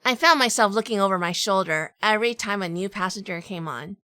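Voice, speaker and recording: Actress as hard-boiled noir heroine, woman, bright forceful tone, crisp, dry studio sound, wary, scripted audio drama narration. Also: medium pitch, fairly quick, no room sound, close to an everyday voice. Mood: wary, cool. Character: tough, wary, armed woman narrating a noir crime story.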